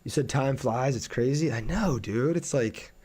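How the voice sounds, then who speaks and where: A man's voice: mid-range voice, normal speed, a little mellow, husky. A man streaming a game, chatting casually and in a laid-back way with his viewers as he plays. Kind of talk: casual livestream chat over gameplay.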